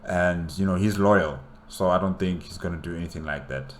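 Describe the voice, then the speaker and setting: A man giving casual, unscripted commentary as a reaction host, haltingly thinking aloud. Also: low voice.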